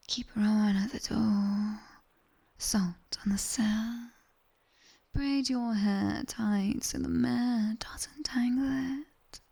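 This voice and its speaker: A sharp-tongued nightmare demoness tutor with a British accent, played by a woman, whispers in a soft, dark ASMR roleplay. Her delivery is very slow and deliberate, teasing yet commanding. The voice is breathy, with a little creak at its edges, and sits close to a binaural microphone. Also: fairly high pitch, full character voice. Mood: sly, ominous.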